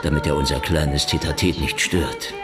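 A man's voice: extremely low pitch, fairly even intonation, normal speed, over loud music. A man acting in a German radio drama as a brash, wisecracking, defiant intruder, needling and mock-polite. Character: brash, wisecracking, defiant male intruder interrogating KGB men at gunpoint.

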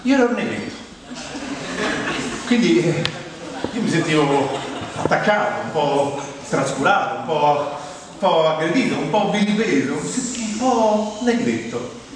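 A man delivering a theatrical comic storytelling monologue, deliberate, with repetitive build-ups and dramatic pauses. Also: fairly high pitch, very animated. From Rome, Italy. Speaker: stage monologuist. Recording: distant, very echoey hall, faint noise.

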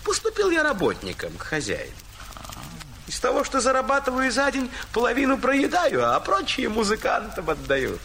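Jolly, laughing carefree fellow; high, swooping delivery over music. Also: male voice, moderate pace, slight background noise, full character voice. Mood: merry. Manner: laughing, lively, playful. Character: merry poor man Gasan, jolly, carefree. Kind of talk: audio fairy tale acting.

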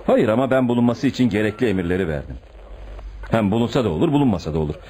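An actor playing an eager, self-assured police detective reporting to a superior in a radio drama. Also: male voice, fairly low pitch, expressive, rapid-fire, dark tone, a little hiss or hum, close to an everyday voice. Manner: confident, reporting.

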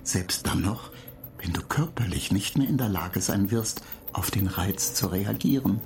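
A man playing a computer data agent in a radio play, matter-of-fact, clinical and measured, calmly pedantic and dryly superior. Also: fairly low pitch. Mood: coolly superior. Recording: clear noise bed behind the voice.

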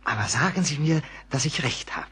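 A man's voice: medium pitch, animated, a little fast, a bit bright, slightly rough. A man playing an eager, talkative Catalan mountain guide in a German radio play, deferential and curious.

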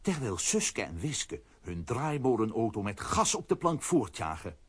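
A man with a husky voice playing the storytelling narrator of a children's audio drama. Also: on the low side, sing-song, unhurried, exaggerated voice.

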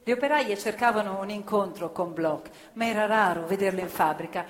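A woman's voice: mid-range voice, fairly quick. A woman narrating a stage play about factory workers with impassioned storytelling that slips into song. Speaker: theatre narrator. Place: theatre stage. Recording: live stage show with long reverb and music.

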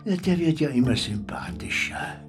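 An actor playing a businesslike partner in amateur sleuthing, in naturalistic radio drama dialogue. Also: male voice, on the high side, lively intonation, moderate pace, over music, natural voice. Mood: curious, planning.

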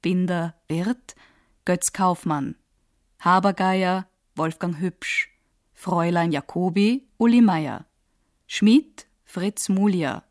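A woman's voice: fairly low pitch, slow, dry recording, studio quality. A woman reading formal scripted credits as a radio announcer.

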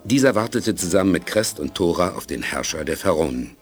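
A man's voice: deep voice, animated, a little fast. A man narrating a scripted science fiction radio play as a sober storyteller. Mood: measured. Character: sober storyteller of a space adventure. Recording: music behind the voice.

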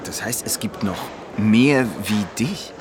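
A man's voice: on the low side, slow pace, noisy background. An actor playing an earnest, somewhat awkward man new to a studio, in naturalistic radio drama dialogue. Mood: flustered, awed.

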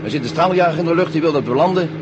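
Casting: man as dutiful helicopter pilot, higher voice, quick, deferential, radio-play style over roaring engine. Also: dull audio, close to an everyday voice. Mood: alarmed. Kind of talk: 1970 Dutch radio drama acting. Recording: old radio recording, loud engine noise.

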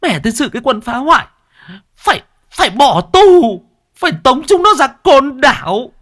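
A shrill, outraged village wife. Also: male voice, big pitch swings, pushed and bright, exaggerated voice. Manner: shrill, indignant, rapid scolding.

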